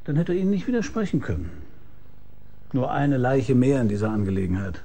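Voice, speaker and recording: Hard-boiled detective, dark husky voice, mid pitch, wry and laconic, radio crime drama narration. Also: male voice, big pitch swings, brisk. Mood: probing, sardonic. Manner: laconic, dry, probing. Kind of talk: German radio crime drama, first-person narration and dialogue.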